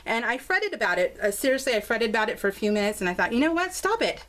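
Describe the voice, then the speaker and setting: A woman's voice: on the high side, big pitch swings, fast, a bit bright. An American woman chatting casually and informally in a bubbly, gushing way as she shows her craft project. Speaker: craft vlogger showing her scrapbook album. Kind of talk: casual chatty narration of a craft show-and-tell.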